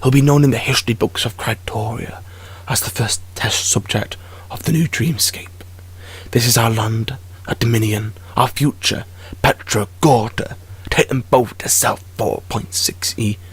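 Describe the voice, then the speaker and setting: A man plays a menacing reptilian alien overlord in an audio drama. The voice is husky and breathy, sinking into hissing whispers as he lays down his commands. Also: mid-range voice, measured pace. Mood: menacing, commanding. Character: menacing, domineering lizard-like alien ruler.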